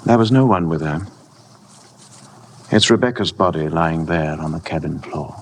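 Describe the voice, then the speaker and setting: An actor playing a tormented, fatalistic husband in a 1950s radio drama, speaking in a grave, weary, measured way. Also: male voice, deep voice. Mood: grave confession. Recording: noisy old 1950 radio broadcast.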